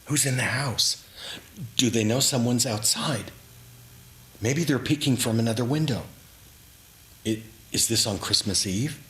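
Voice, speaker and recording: A man giving a dramatic, reflective reading of his own memoir story, with deliberate pauses. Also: medium pitch, fairly bright tone. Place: indoors in a historic house, live reading. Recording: clearly noisy live room recording.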